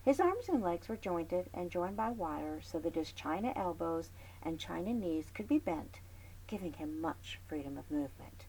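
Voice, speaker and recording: Woman librarian, story read-aloud, slightly low voice, dramatic whispers, faint hiss behind. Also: normal speed, low-quality recording. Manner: expressive, dramatic whispered asides; friendly chatty commentary between passages. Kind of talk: children's chapter read-aloud.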